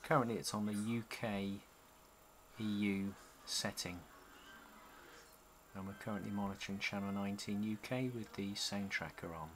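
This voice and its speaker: A man giving a casual, halting walkthrough as a hobbyist showing off his gear. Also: lowish voice, fairly even intonation, a bit bright. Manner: halting, pausing between short phrases. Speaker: CB radio hobbyist presenting a product demo. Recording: home camcorder with noticeable background noise.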